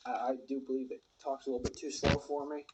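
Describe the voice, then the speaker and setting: A man's voice: medium pitch, flat delivery, fast, heavy background noise. A man giving casual video commentary and reading announcements aloud. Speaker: video host.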